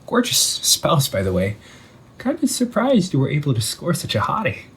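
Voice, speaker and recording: A man playing an undead older brother returned from the sea, talking in a hushed, halting, teasing way in an audio roleplay. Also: a little high, wildly swooping pitch, slightly rough, full character voice. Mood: teasing admiration. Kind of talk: audio roleplay monologue to the listener.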